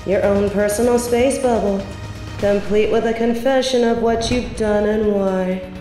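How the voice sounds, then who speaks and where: A woman's voice: mid-range voice, steady tone, unhurried, warm tone, roomy sound, faint music behind, lightly acted, low-quality recording. A woman playing a smug, bored sorceress turned journalist in an audio drama, with a sardonic, condescending way of talking. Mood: haughty, weary. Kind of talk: audio roleplay drama acting.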